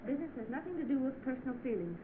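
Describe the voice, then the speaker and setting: A woman's voice: high voice, very animated, racing. An actress playing a kind, practical young office woman in an old film drama, composed and considerate. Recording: tinny, noisy old film soundtrack.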